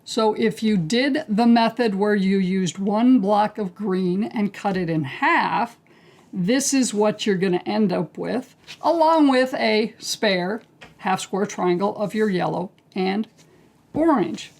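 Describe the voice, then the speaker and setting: A woman teaching a quilting technique step by step, calm and unhurried, clearing her throat as she is losing her voice. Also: mid-range voice. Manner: calm, unhurried, talks through each step as she works. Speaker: quilting instructor. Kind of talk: step-by-step craft tutorial.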